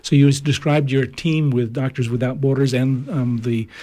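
A man's voice: mid-range voice, steady tone, moderate pace, warm tone. A man hosts an interview and introduces his guest. He asks his questions hesitantly and fills the gaps with ums and uhs. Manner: hesitant, many fillers. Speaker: interview host.

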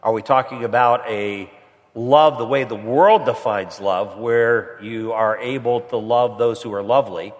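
A pastor preaching a sermon with a stuffy head cold, climbing higher and louder when he presses a point. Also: measured pace. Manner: rises in pitch and volume when pressing a point. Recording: long hall reverb.

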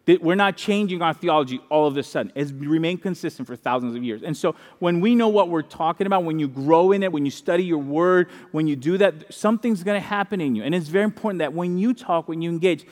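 A man with a high voice preaches a sermon in a lively, conversational way. He grew up in the Dominican Republic and often repeats key lines for emphasis. His voice carries a long reverb, as in a large hall. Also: fast, hardly any pauses. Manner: animated, conversational preaching with repetition and asides. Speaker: pastor.

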